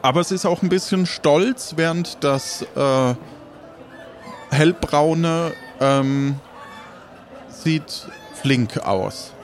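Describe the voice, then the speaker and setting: A man narrating an improvised adventure game as game master, halting and searching for his words. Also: fairly high pitch, soft background music.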